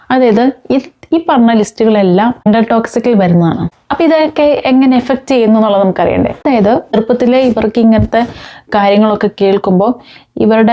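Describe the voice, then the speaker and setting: A woman's voice: a little high, highs cut off. A woman talking informally to viewers, explaining with examples and mixing in English words. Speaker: host of a parenting talk.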